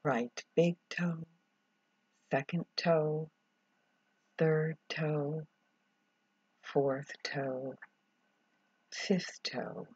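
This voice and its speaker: A low, breathy woman's voice leads a guided meditation at an extremely slow pace. She speaks calmly in short, measured phrases and leaves long pauses between them. The recording is a little dull. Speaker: yoga relaxation instructor. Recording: dull, roomy, echoing sound.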